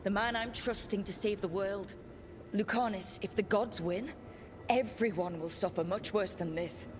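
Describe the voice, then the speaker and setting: A woman's voice: mid-range voice, normal speed, noisy background, lightly acted. A woman playing a steadfast, earnest, determined heroine in dramatic video game dialogue. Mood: earnest, encouraging.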